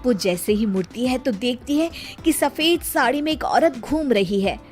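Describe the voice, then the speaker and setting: A woman's voice: sits high, expressive, normal speed, soft background music. A woman reading scripted story narration as the narrator of a family tale.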